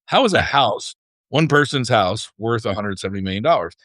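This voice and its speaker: A man's voice: lowish voice, expressive, fairly quick, fairly bright tone, dry recording. Man explaining things conversationally, dry close sound. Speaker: podcast host.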